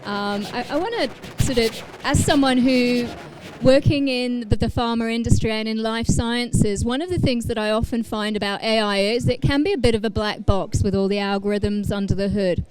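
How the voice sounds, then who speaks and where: A woman's voice: fairly high pitch, normal speed, a little mellow, poor audio. A woman from Australia with a faintly British-tinged Australian accent, moderating a conference panel, polite and full of ums and restarts. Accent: Australian with a slight British tinge.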